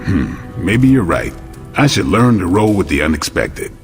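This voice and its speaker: A man's voice: low voice, very animated, moderate pace. A man playing a composed, fair-minded superhero leader in a video game, recorded with a hall-like reverb and music behind. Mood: reflective. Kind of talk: video game cutscene acting.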